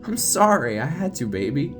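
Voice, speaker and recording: A man's voice: fairly high pitch, sing-song, normal speed, a bit bright. Man as teasing, coaxing young boyfriend. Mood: coaxing, playful. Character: laughing, teasing young boyfriend, a prankster moviegoer. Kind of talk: audio drama character reading. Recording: music playing behind the voice.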